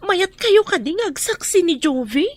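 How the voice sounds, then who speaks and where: Earnest young woman, Filipino accent, radio drama, high voice. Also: animated, unhurried. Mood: hesitant.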